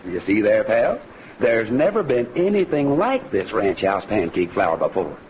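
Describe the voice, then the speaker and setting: Hearty, slow-talking American cowboy radio host. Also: male voice, on the low side, sing-song, a little hiss or hum. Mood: hearty, folksy. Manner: folksy, hearty. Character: friendly singing cowboy ranch host pitching pancake flour. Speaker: host and pitchman. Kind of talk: 1940s radio western show announcing. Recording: dull lo-fi old radio recording. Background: music.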